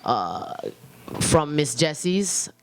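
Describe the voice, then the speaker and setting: A woman's voice: low voice, sing-song, very slow, a little hiss or hum. An American woman radio host chatting casually and giving opinions in an emphatic, slangy way.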